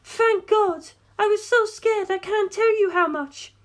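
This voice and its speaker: A narrator doing an anxious, high-voiced young woman. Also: measured pace. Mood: pleading, relieved. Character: anxious, tearful, well-bred young woman. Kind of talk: audiobook character dialogue.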